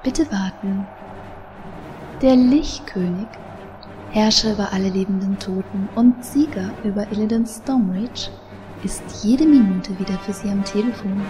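A mellow, formal hold-line announcer, played by a woman delivers a scripted phone message over music. It is a parody radio play bit with official polish. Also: medium pitch, a little slow, close to an everyday voice, low-quality recording. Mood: formal, automated.

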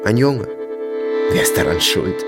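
A young man playing a haunted soldier lost in fragmented memories, speaking haltingly in a radio drama monologue. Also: lowish voice, expressive, over loud music. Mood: haunted brooding. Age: young adult.

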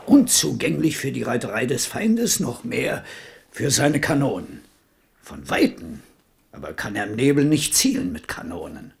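A seasoned old marshal in a radio play, urging his king with earnest insistence. The voice is gravelly and rises and falls widely, with a middle pitch. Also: very animated, normal speed. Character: seasoned older male military commander. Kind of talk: radio drama acting.

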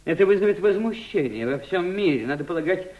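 Jumpy polite émigré gent, old radio play, dramatic, very up-and-down voice, muffled sound. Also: male voice, sits high, expressive. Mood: agitated, indignant. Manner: theatrical, emphatic, halting. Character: agitated, courteous anti-Bolshevik émigré gentleman. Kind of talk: Soviet radio drama acting.

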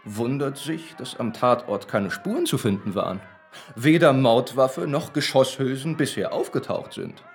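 Sardonic, self-assured professor in the dock. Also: male voice, medium pitch, animated, normal speed, vocal fry, faint music behind. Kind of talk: German radio play acting.

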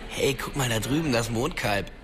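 An actor playing a cheeky, glib young prankster in a radio drama, with a slightly husky voice. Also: male voice, medium pitch, normal speed, fairly bright tone, natural voice. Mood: teasing. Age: young adult. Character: cheeky young prankster, narrator's small-town best buddy.

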